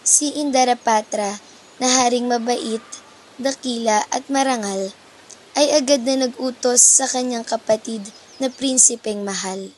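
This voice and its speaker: A woman's voice: a little high, unhurried, darkish tone, slight background noise, low-quality recording. A woman reading scripted, dramatic narration of an epic poem for radio. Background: faint music.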